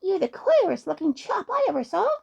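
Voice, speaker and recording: A woman's voice: very high voice, sing-song, a little fast, vocal fry, darkish tone, pitched above the performer's own voice, heavily acted. A woman voicing a friendly, earnest little lantern man, a helpful sprite in a children's storybook. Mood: puzzled, concerned.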